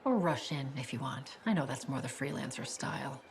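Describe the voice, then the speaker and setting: Calm boss spy lady, deep for a woman, measured, in charge, game cutscene, faint background noise. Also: gravelly, full character voice. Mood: authoritative. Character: calm, authoritative female spymaster handing out contracts. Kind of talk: video game cinematic acting.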